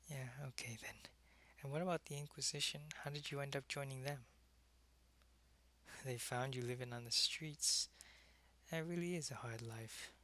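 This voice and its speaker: A man's voice: mid-range voice, creaky, bright, forceful tone, exaggerated voice. A man playing a world-weary, lonely vampire who was once a human soldier, in an intimate, conversational ASMR roleplay.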